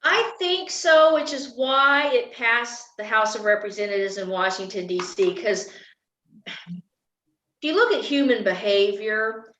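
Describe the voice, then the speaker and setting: A woman's voice: fairly high pitch, animated, a little slow. A woman running for office, answering interview questions in a casual, talkative way and laughing often. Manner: laughs often, self-described loud voice. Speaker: political candidate being interviewed. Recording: echoey, dull lo-fi recording.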